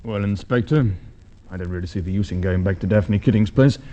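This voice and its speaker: An actor playing a sceptical, matter-of-fact police sergeant with an Australian accent in a golden age radio drama. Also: male voice, fairly low pitch, brisk, close to an everyday voice. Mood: doubtful, puzzled. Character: sceptical, plain-spoken male police sergeant in a crime drama. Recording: dull vintage radio drama recording.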